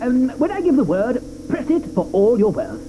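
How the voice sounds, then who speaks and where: An actor playing an eccentric, excitable chocolatier who lectures, stammers and chuckles. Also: male voice, very high-pitched, normal speed, noisy background, exaggerated voice. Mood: breezy. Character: eccentric excitable male chocolatier, middle-aged. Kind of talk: 1980s radio drama acting.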